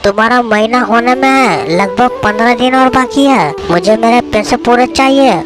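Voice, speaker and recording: A woman's voice: fairly high pitch, moderate pace, natural voice. A woman voicing a bullying, demanding male village moneylender in a cartoon story. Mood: threatening. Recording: music behind the voice.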